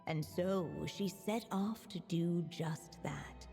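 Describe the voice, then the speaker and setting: A woman playing a gentle, solemn fairy-tale narrator in a video game, speaking in measured literary phrases with long reverb. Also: on the low side, soft background music. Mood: tender. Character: gentle storybook narrator, an unseen female storyteller.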